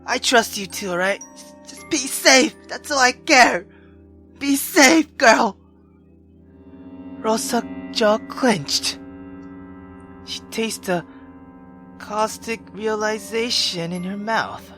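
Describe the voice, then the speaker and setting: A woman playing a visual novel, reading it aloud expressively and excitably, stumbling now and then. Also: on the high side, slow, long pauses, soft background music. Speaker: let's player.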